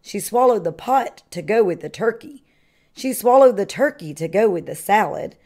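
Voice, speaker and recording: A woman reading a children's picture book aloud in an expressive sing-song way, like a kindergarten teacher. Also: mid-range voice, moderate pace.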